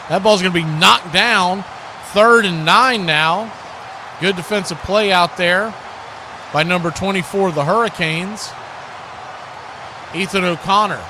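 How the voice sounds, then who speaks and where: A man doing live play-by-play commentary of an American football game as the announcer. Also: sits high. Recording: loud background noise.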